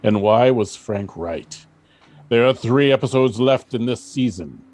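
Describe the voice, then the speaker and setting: Mid-pitched man narrating a radio play. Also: unhurried, a little mellow. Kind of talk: scripted radio drama narration.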